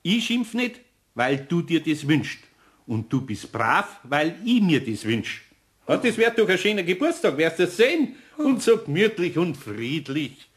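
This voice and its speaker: A man's voice: on the high side, sing-song, unhurried. An actor playing a good-natured, grumbling older craftsman with a Bavarian accent in a children's radio play. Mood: indulgent, mildly grumbling. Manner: homely, grumbling, indulgent.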